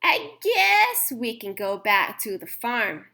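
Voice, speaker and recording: Woman voicing a fed up farm animal lady, high and emphatic, slow and bright. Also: big pitch swings, gravelly, full character voice. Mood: warm.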